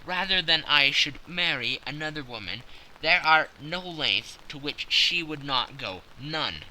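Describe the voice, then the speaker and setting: Man playing a proud, anguished, forceful young king. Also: a little high, animated, measured pace, slight background noise, exaggerated voice, low-quality recording. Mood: desperate, resolute. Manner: emphatic, grave, formal. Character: proud, anguished thirty-year-old European king, agitated and desperate.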